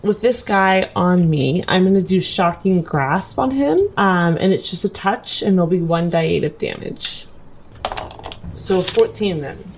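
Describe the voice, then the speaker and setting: A woman's voice: lowish voice, expressive, measured pace, slight background noise. A woman talking casually through her moves as a player in a tabletop role-playing game.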